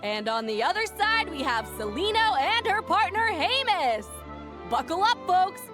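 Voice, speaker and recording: Peppy young female game announcer, extremely high voice, animated swoops, showy projected delivery, music behind. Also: a little slow, full character voice. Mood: hyped. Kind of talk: video game acting, sports announcer delivery.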